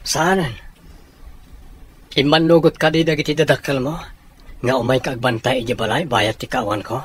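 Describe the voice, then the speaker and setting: An actor playing a young soldier boyfriend in a radio comedy-drama, conversational and matter-of-fact. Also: male voice, mid-range voice, fairly even intonation, moderate pace, husky, lightly acted. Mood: businesslike. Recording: faint background noise and ambience.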